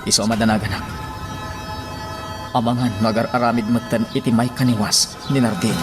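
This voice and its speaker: An actor with an Ilocano Filipino accent playing an earnest, concerned parish priest in a radio drama, with a grave, measured delivery. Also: male voice, medium pitch, level tone, lightly acted. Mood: grave, worried. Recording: music behind the voice.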